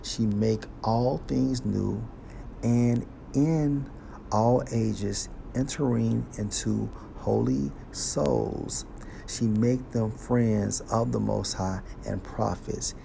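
A man teaching scripture, reading verses aloud slowly and explaining each one with a deliberate delivery. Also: on the low side, vocal fry, mellow, slight background noise. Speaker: Bible teacher.

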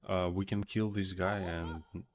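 A young man who is not a native English speaker, giving casual gameplay commentary in short, halting remarks. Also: sits low, steady tone, crowd noise. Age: young adult. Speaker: video game streamer.

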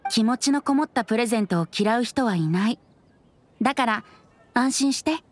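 A woman voicing a playful, gentle young male bard in an anime game, with a light, easygoing manner. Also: fairly high pitch, expressive, moderate pace. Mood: reassuring, breezy.

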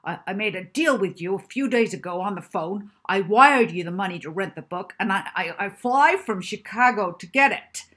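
A woman voicing a gruff, furious, blustering American male professor in an audiobook, indignant and stammering with outrage. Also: mid-range voice, animated, moderate pace, hardly any pauses, fairly bright tone, voice pushed up. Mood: furious, loud. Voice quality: gruff, bellowing.

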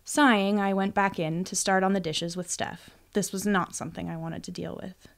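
A woman's voice: mid-range voice, steady tone, normal speed, gravelly. A woman reading first-person fiction as an audiobook narrator in a scripted storytelling style.

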